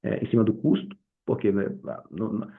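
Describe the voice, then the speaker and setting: A man with a Brazilian accent giving an informal, explanatory software tutorial as the instructor, talking conversationally and often checking in with short tag questions. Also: lowish voice, moderate pace, gravelly, narrow, tinny audio.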